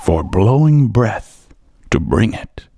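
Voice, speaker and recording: Hip myth narrator, low voice, swooping intonation, theatrical rhymes, radio play. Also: male voice, sing-song, vocal fry, exaggerated voice. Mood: playful, grand. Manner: theatrical, drawn-out rhyming delivery with wordplay. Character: hip, playful storyteller of Greek myths. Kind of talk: rhythmic rhyming radio storytelling over music. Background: music.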